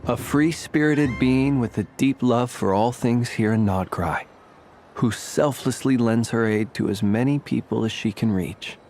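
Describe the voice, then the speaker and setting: A man voicing a courteous gentleman candy maker in a video game, speaking formally and politely with elaborate phrasing. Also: mid-range voice, unhurried, natural voice. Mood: warm formal. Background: game soundtrack music.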